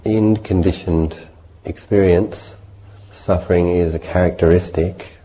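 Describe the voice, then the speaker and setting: A man leading a guided meditation as a Buddhist teacher, speaking in a measured, gentle way with deliberate pauses between short phrases. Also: deep voice, fairly even intonation, rough recording.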